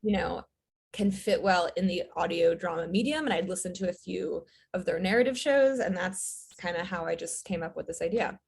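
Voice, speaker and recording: A woman in her thirties, a writer-director guest, chatting in a relaxed way with lots of "um"s. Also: on the low side, moderate pace, gravelly, slightly rough. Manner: relaxed, fillers, light laughs. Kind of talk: casual interview chat.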